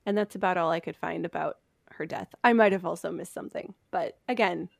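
A woman's voice: fairly low pitch, a little fast, vocal fry, no echo. Woman casually telling history, dry close sound. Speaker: podcast host presenting history research. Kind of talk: casual storytelling from notes among friends.

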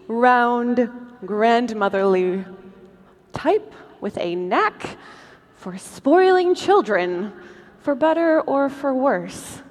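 A woman performs scripted comic introductions on stage. Her voice sits fairly high and she takes her time, with the long reverb of a large hall behind each phrase. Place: large convention hall stage, live show.

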